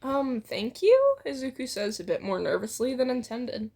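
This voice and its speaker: A high-voiced woman narrates a story in a playful co-read. Her delivery swings widely in pitch and has some creaky fry in it. She is giggly and ready to step out of the text for banter. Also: sing-song, normal speed, a little mellow. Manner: giggly, breaks off to joke. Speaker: fan fiction reader and co-host.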